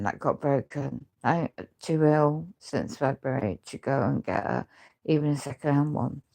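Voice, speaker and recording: A woman who is ill with a chest infection, with a crackly voice, short of breath and struggling to speak as she gives a rambling, aggrieved personal account. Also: creaky. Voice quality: crackly, deep voice. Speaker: housing tenant speaking out. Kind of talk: rambling personal video testimony.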